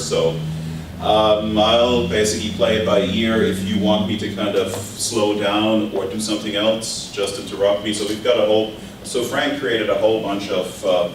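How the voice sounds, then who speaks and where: A middle-aged man with a German accent gives an informal workshop lecture, talking conversationally with many fillers and restarts. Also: on the low side. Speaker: physics professor teaching a workshop. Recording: distant mic in an echoey room with background noise.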